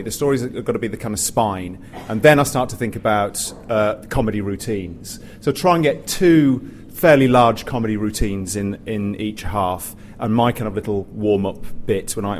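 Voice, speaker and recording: A man's voice: on the low side, moderate pace, hardly any pauses. A man speaking off the cuff as a theatre writer and performer on a discussion panel, circling back and repeating phrases as he explains. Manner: rambling, repeats and restarts phrases, often says "you know". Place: theatre, live panel after a show. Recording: noisy live room recording.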